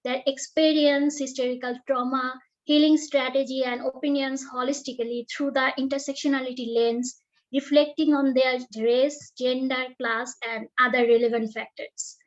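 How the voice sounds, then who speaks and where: A woman chairs an online panel and reads formal, prepared remarks in a high voice. The call audio is dull and lo-fi. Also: fairly even intonation, normal speed. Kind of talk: scripted moderator remarks. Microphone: video call.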